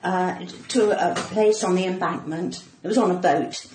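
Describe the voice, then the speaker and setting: A woman's voice: on the low side, a little slow, a little mellow. A middle-aged woman speaks in a cut-glass English accent with a flamboyant, theatrical manner. Her chat is casual and anecdotal, like a performer being interviewed. The room is echoey, with some noise behind her. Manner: giggly. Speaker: comedian and actor being interviewed. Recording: roomy echo, clear background noise.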